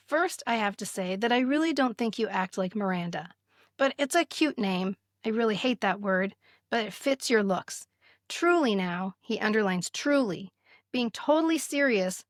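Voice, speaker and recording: A woman's voice: mid-range voice, dry recording, studio quality. A woman in her fifties speaking as a podcast host, telling stories candidly in casual chat and sometimes reading aloud. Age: 50s. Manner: candid, chatty storytelling.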